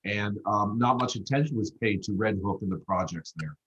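A man on a discussion panel, recounting events in a relaxed, matter-of-fact way. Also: lowish voice, normal speed. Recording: dull lo-fi audio.

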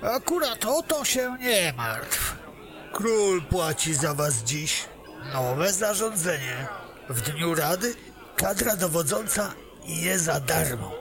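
A man voicing a chatty, gossiping tavern keeper in a fantasy audio drama, with a slow, animated, gravelly way of talking. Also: a little high, music in the background, heavily acted. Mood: gossipy. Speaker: innkeeper.

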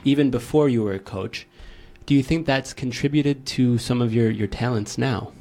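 A man's voice: medium pitch, moderate pace, darkish tone. A man hosting a radio interview show, friendly and joking, who often rephrases his questions as he asks them. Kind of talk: casual interview.

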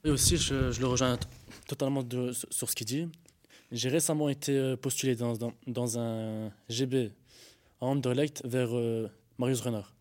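A man's voice: medium pitch, fairly even intonation, normal speed, a little mellow. A young man with a mid-range voice recounts his experiences. He is hesitant, stumbling over words and restarting sentences again and again. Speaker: panel guest. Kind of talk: personal testimony in a panel discussion.

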